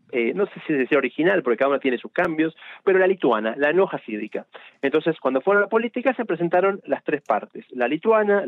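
A man's voice: medium pitch, dry recording. Argentine man explaining, slightly dull lo-fi sound. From Buenos Aires, Argentina. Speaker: interview guest, rabbi and journalist. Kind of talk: explanatory radio interview answers. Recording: dull, lo-fi radio line.

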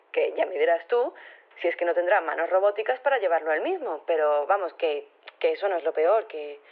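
An actress playing an earnest, flustered robotics teacher turned activist, talking hesitantly and chattily over a phone filter in an audio drama. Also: female voice, fairly low pitch. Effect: telephone filter. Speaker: phone-in interviewee. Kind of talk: radio interview in audio fiction. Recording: thin, narrow phone line.

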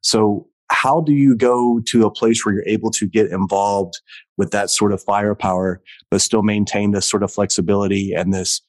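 A man hosting an interview podcast, introducing his guest in an energetic, upbeat way. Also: lowish voice, normal speed, no room sound. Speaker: podcast host.

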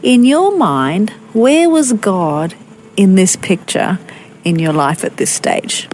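A woman interviewer asking gentle, measured questions. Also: medium pitch, big pitch swings, a little hiss or hum. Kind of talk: radio interview.

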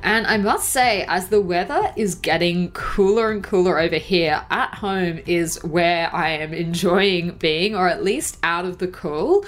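A woman's voice: fairly low pitch, measured pace, hardly any pauses. A woman hosting a podcast in a casual, chatty way, sounding a bit congested from a cold, with frequent fillers. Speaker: podcast host. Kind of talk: casual solo podcast chat.

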